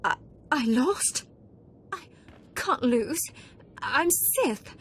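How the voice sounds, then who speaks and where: Arrogant young Sith noble, high and swooping, game cutscene. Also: female voice, sing-song, drawn-out words, exaggerated voice. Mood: panicked. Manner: haughty, mocking, then panicked.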